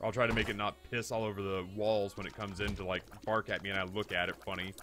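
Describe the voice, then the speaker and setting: A man, telling a comedic story casually in an animated, hyperbolic, profane way as a streamer. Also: lowish voice, fast. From United States. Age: 30s. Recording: music behind the voice. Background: background music.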